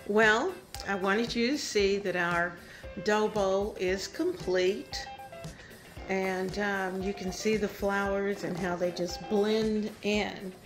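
A woman's voice: mid-range voice, steady tone, slow pace, faint music behind. An older woman hosting a home decor vlog, talking casually and encouragingly as she demonstrates.

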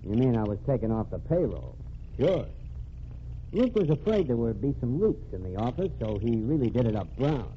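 Guy doing a cool tough ex-reporter, lively swingy delivery, muffled old radio hiss. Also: medium pitch, moderate pace, close to an everyday voice. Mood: explaining. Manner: cool, wisecracking, menacing. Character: cocky undercover ex-reporter man, cool tough. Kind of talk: 1940s radio acting.

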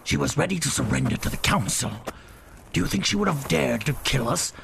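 Man acting a stern, creaky alien gang captain. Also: mid-range voice, lively intonation, fairly quick, background noise. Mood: scornful. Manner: commanding, menacing, rhetorical. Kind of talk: pulp sci-fi audio drama acting.

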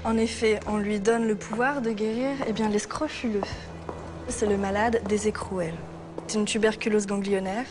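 A woman's voice: medium pitch, steady tone, normal speed. A woman, explaining things playfully as a guide on a tour. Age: 20s. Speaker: art history student acting as abbey guide. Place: inside a large stone abbey, later on its outdoor roof.

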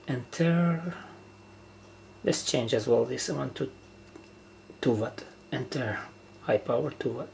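A man giving a casual, halting step-by-step demonstration of equipment in short phrases, as a hobbyist. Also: mid-range voice, vocal fry, a little mellow. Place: indoor room with wooden surface. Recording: noisy handheld camera recording indoors.

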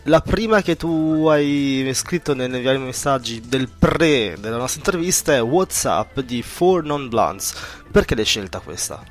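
A man's voice: medium pitch, expressive, measured pace, faint music behind. Chatty male Italian radio host. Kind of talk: conversational radio interview.

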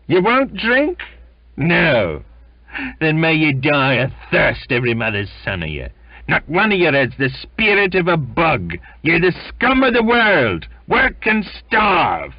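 A man playing a bitter, furious sailor who shouts scornful insults at his shipmates. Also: fairly high pitch, huge pitch swings, slow, no room sound, lo-fi sound, voice pushed up, heavily acted.

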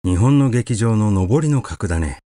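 A man reading a literary short story aloud as an audiobook narrator, with measured, expressive storytelling. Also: fairly low pitch, no echo, studio quality.

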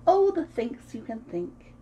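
A woman in her thirties reading a picture book aloud to preschoolers in an expressive, sing-song way, as host of a story time. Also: fairly high pitch, measured pace, slight background noise. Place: small room at home, indoors.

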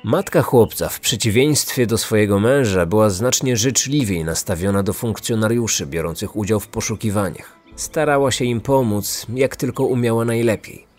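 A man's voice: on the low side, moderate pace, hardly any pauses, a little mellow. A man narrating a scripted true-crime podcast as its host. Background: faint music.

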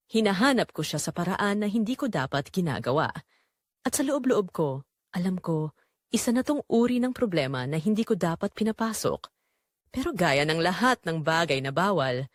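A woman reads an emotional first-person drama in a confessional tone. Her pitch sits in the middle and moves in lively swings, with deliberate phrasing. The sound is dry and close. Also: no echo. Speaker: narrator of an audio drama. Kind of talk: emotional first-person scripted story narration.

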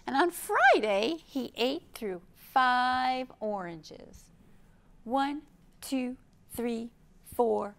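Middle-aged American woman, children's storytime reader: slow pace, creaky edge, expressive and simple delivery. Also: on the high side. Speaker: children's librarian, storytime host. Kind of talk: children's storytime read-aloud and show-and-tell. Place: indoor library room.

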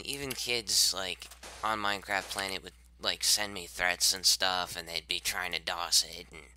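A man in his twenties with a slightly raspy voice, rambling casually while streaming a game, repeating himself and trailing off. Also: low voice, bright, forceful tone. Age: 20s. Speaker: Minecraft server owner streaming gameplay. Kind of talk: casual live-stream commentary.

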